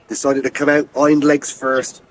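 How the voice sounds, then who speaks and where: An actor playing a plain-spoken, wryly blunt farmer in a radio soap. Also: male voice, mid-range voice, flat delivery, measured pace, natural voice. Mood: fond, wistful. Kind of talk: naturalistic radio soap acting.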